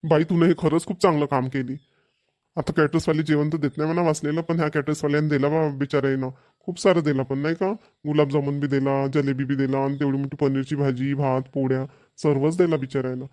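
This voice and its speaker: A man's voice: a little high, flat delivery, quick pace, darkish tone, natural voice. A man voicing a chatty, contented village family man in a cartoon, speaking rural Varhadi Marathi in an emphatic, repetitive way. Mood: approving, chatty. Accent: rural Maharashtrian, Varhadi.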